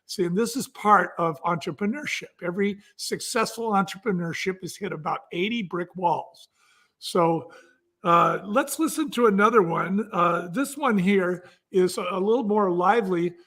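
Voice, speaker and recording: A man's voice: sits high, moderate pace, fairly bright tone. A man speaking as an entrepreneur guest, explaining conversationally with vivid examples.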